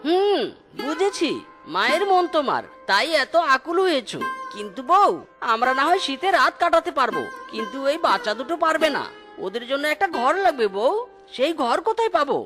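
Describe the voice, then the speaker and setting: A woman's voice: high voice, sing-song, a little fast, music in the background. A woman voicing a poor, weary, cautious husband fox in a children's cartoon, with a plaintive manner. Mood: fretful.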